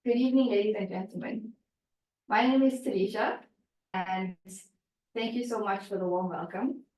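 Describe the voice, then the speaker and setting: A woman's voice: mid-range voice, normal speed, a little mellow, far from the mic. A woman delivers a prepared speech, telling her story and putting questions to the audience. She sounds set back from the mic in an echoey room. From South Africa. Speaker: club speaker.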